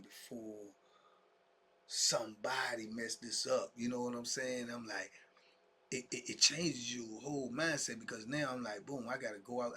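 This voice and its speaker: Man, 50s, husky voice, loose street-slang storyteller, talks straight to his viewers. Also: mid-range voice, pushed and bright. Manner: street slang, many fillers like "man" and "you dig"; sometimes drops to a whisper. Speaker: vlogger telling his own prison story. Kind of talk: casual storytelling monologue to camera.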